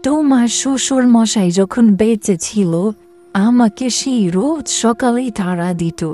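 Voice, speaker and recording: Woman playing a slow, nagging old mother-in-law. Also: a little high, warm tone, soft background music, natural voice. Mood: grumbling. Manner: scolding, nagging. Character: bossy, grumbling old widowed village mother-in-law. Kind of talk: cartoon voice acting.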